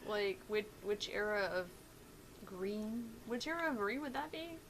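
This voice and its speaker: A woman's voice: mid-range voice, lively intonation, normal speed, slight background noise. A woman co-hosting a gaming stream, chatting casually with teasing, slangy banter.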